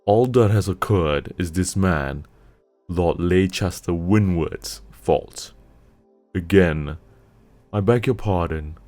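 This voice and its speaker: A man reading aloud and voicing a resentful young rider making a measured, formal apology. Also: low-pitched, a little mellow, no echo, soft background music.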